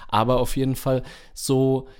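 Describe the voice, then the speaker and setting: A man with a mid-pitched voice chats as a podcast co-host. He talks in a loose, rambling, colloquial way and drops in bits of English slang. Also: flat delivery, unhurried, a little mellow, smooth. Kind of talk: casual chat.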